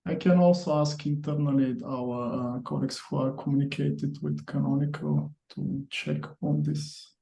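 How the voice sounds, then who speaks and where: A man chairing a work meeting on an online call, speaking haltingly with frequent pauses. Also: on the high side, flat delivery, vocal fry, mellow, reverberant.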